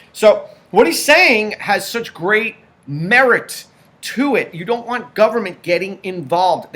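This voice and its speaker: A man's voice: high voice, a bit bright. A man hosting a political commentary show, talking emphatically and stacking up points in lists.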